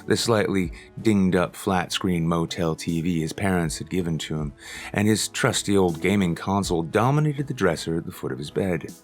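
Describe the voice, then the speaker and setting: A man reading a short story aloud as its narrator, in a measured, even storytelling manner. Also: sits low, soft background music. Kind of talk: scripted audiobook narration.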